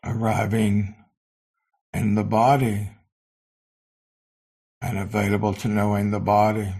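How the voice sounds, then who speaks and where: Slow, gravelly man guiding meditation. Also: on the low side, level tone, dark tone. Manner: slow, sparse phrasing with long pauses. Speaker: meditation teacher. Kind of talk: guided meditation.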